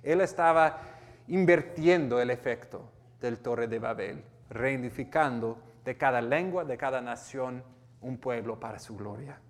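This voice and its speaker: A man's voice: mid-range voice, a little slow, long reverb tail. A man with an American accent preaching a sermon, reading scripture and explaining it earnestly with frequent restarts. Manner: earnest, with restarts and self-corrections. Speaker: pastor.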